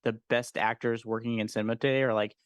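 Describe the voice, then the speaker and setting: A young man talks as a livestream co-host in an informal, enthusiastic way. His voice is mid-pitched and the recording is dry, with very little room sound. He leans on slang and the odd swear word as he chats. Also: little pitch movement, quick pace, smooth, no echo. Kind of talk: casual chat about films.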